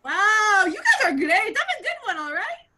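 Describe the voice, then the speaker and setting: A woman's voice: extremely high pitch, big pitch swings, moderate pace, bright, forceful tone, full character voice. A woman playing a cowardly family man who pleads melodramatically in a comedy script read. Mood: relieved. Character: cowardly whimpering family man begging for mercy.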